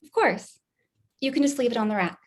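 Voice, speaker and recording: A woman's voice: a little high, lively intonation, a little fast. A woman playing a polite, attentive sales associate with a pleasant manner in an audio drama. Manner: pleasant, service-minded.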